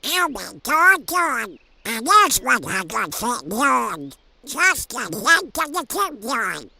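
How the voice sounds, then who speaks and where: A woman voicing a plucky, excitable male cartoon duck uncle in a comic dub, with a squeaky, creaky voice. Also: slow pace, full character voice. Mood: puzzled.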